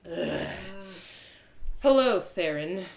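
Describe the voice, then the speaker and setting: A woman's voice: on the low side, lively intonation, extremely slow, full character voice. A woman voicing a stubborn, irritable young female adventurer in a storybook, blunt and sarcastic. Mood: sullen. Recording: roomy echo.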